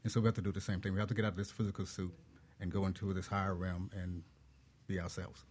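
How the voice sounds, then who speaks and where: Husky low-voiced man talking fast. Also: level tone, darkish tone. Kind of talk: spiritual explanation in discussion.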